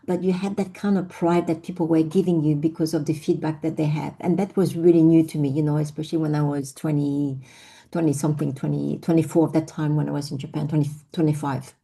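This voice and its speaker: A woman's voice: deep voice, little pitch movement, fast talker, vocal fry, mellow, husky. A middle-aged woman with a French accent, telling her personal story in a reflective way with many fillers and restarts. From France. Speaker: invited speaker. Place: online session.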